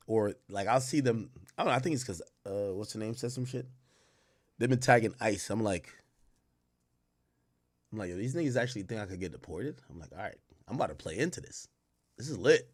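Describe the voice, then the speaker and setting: Rambling, profane male livestreamer. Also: medium pitch. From Jamaica. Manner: loose, rambling, profane, heavy slang. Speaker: livestream host. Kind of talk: casual livestream commentary to chat. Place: indoor home streaming room. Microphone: headset.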